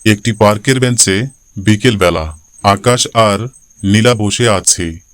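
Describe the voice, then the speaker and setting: Male story narrator, lowish and clear, formal read, very dry sound. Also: slow, no room sound.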